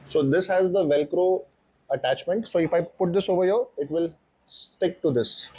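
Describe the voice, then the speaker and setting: A man's voice: high voice, moderate pace, dark tone, a little hiss or hum. A man presenting a casual vlog walkthrough, explaining things step by step. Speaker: motorcycle vlogger and presenter. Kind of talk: casual unboxing and installation walkthrough.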